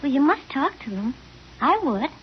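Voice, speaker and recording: A woman's voice: high voice, big pitch swings, moderate pace. An actress in an old-time radio drama playing a lonely, broke young drifter girl, plaintive and a little hesitant. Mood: lonely, wistful. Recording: muffled, hissy vintage radio recording.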